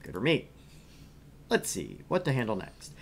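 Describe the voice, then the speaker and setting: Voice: mid-range voice, huge pitch swings, moderate pace, gravelly. A game streamer reading a visual novel aloud with casual, wry commentary. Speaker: gaming streamer.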